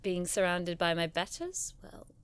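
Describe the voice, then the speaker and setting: An elegant, sarcastic young scholar. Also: female voice, fairly low pitch, big pitch swings, a little slow, a bit bright, smooth. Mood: reluctant admission. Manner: wry, teasing, arch. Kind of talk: audio drama acting.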